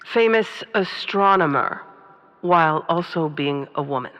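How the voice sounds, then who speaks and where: A woman's voice: low voice, animated, a little slow. A woman playing a weary, proud astronomer in a melodramatic comedic radio drama. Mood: dry. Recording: dull, echoing radio-play sound with music.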